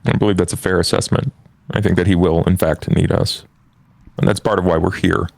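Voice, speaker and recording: Male vampire henchman played by a man at a game table: bass register, vocal fry, polite, doubtful, measured delivery. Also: fairly even intonation, darkish tone, no room sound. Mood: measured, dry. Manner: measured, formal, hesitant phrasing.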